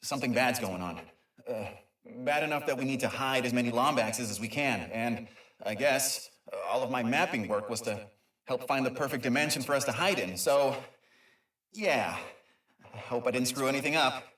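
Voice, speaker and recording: A man's voice: mid-range voice, normal speed, fairly bright tone, roomy sound, far from the mic, lightly acted. A man voicing an eager, nerdy, kind creature inventor who rambles and stammers through an informal video game audio log. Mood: worried. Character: eager, nerdy, kind male Lombax inventor and dimension mapper.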